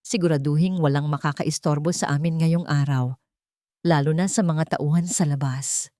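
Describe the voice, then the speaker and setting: A woman's voice: low voice, fairly even intonation, normal speed, dark tone, no room sound, studio quality, close to an everyday voice. Woman reading as a curt, cold male CEO. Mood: cold, commanding. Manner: curt and businesslike.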